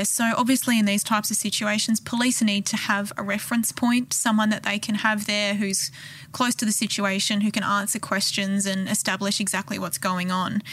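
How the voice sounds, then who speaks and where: A woman's voice: medium pitch, a bit bright. A woman reporting on a podcast in scripted, explanatory narration.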